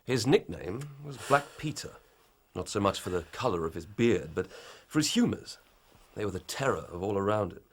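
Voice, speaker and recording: An actor playing an earnest, ambitious young police inspector, formal and methodical. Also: male voice, fairly low pitch, expressive, moderate pace. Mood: reporting. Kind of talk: radio drama acting.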